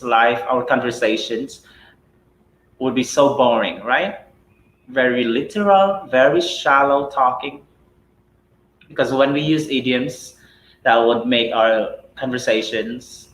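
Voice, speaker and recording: A chatty Filipino man runs a live English lesson, asking rhetorical questions and tagging "right?" onto his points. His voice sits at an ordinary middle pitch. It bounces around a roomy space, with a faint hum of ambience underneath. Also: fairly bright tone. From Philippines. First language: Cebuano. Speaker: English teacher.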